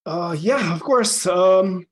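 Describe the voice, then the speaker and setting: Upbeat Slovenian-accented man. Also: sits high. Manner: upbeat, many "um" pauses. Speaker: guest, company founder. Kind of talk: casual interview chat.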